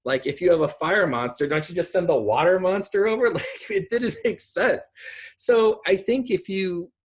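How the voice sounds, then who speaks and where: Husky middle-aged man, casually explaining. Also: high voice, normal speed. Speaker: guest speaker. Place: indoor room at home, on a video call. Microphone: video call microphone.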